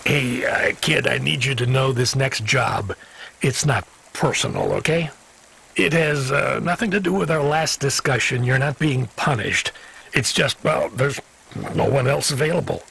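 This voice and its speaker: A man's voice: medium pitch, a little slow, creaky, bright, forceful tone, husky, exaggerated voice. An actor playing a weary, avuncular dispatcher in a video game, hesitant and folksy as he hedges awkwardly. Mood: awkward, apologetic.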